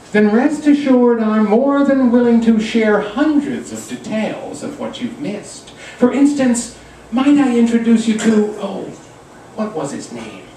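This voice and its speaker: Male poet at a live reading: slow, animated, theatrical verse delivery, recorded in a very reverberant room. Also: high voice. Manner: theatrical, deliberate verse delivery with wry asides. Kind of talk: dramatic live poetry reading. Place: pub reading room, indoors. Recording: echoey room, distant mic, faint noise.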